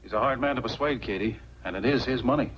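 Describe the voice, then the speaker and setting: An actor playing an earnest, frustrated small-town lawyer with an American accent, in 1940s film style. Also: male voice, mid-range voice, fast talker, natural voice. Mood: reserved. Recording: dull, noisy 1940s film soundtrack with hum.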